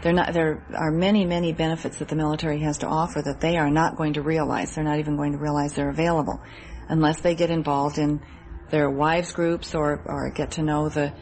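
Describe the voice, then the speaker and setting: Low-pitched Midwestern American woman, earnest interviewee. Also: flat delivery, fairly quick, a little mellow, slight background noise. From Midwest United States. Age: 30s. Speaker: interview guest, military wife. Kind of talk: radio interview, answering questions.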